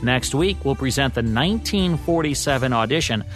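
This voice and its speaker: An American man presents scripted radio history as a program host. His voice sits at an average male pitch. Soft music plays faintly behind him. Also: lively intonation, moderate pace. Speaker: radio show co-host and producer.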